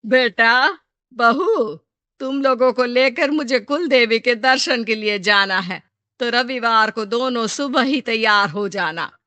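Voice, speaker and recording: Woman as a domineering mother-in-law, unhurried, dry sound. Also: on the high side, pushed and bright, dry recording. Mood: instructing. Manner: scolding, commanding. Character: stern, domineering middle-aged mother-in-law.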